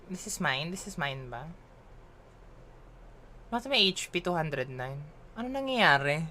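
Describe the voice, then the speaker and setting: A woman's voice: low voice, big pitch swings, unhurried, pushed and bright, slight background noise. A woman with a Filipino accent streaming a game, chatting playfully in a mix of English and Filipino and teasing herself. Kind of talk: casual livestream chat while gaming.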